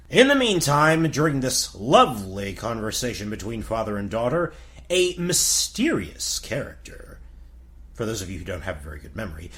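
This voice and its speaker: A man's voice: lowish voice, fairly bright tone. A man narrating a comic fairy tale in a tongue-in-cheek storybook style. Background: music.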